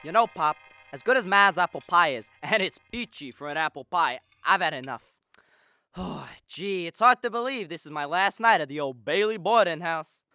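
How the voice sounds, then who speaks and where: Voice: mid-range voice, expressive, normal speed, sharp tone, dry recording, studio quality, soft background music. A student performer playing an eager, boyish young man who dreams of travel, in a radio drama. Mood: fond, excited. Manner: enthusiastic, boyish.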